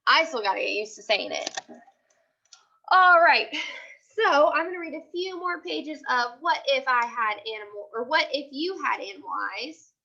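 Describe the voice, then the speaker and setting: An American woman with a high, bright voice reads aloud as an elementary teacher. She explains things simply and repeats key points for young listeners. The recording is a little dull and lo-fi. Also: animated, moderate pace. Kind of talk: teaching read-aloud of a picture book with questions and asides.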